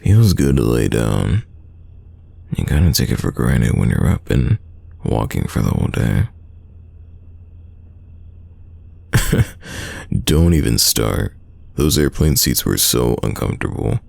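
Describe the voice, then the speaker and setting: A man acting a teasing, stubborn young friend in an intimate roleplay audio drama, with a very deep, gravelly voice and a casual delivery full of drawn-out sighs. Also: sing-song, darkish tone, dry recording, full character voice. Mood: weary banter.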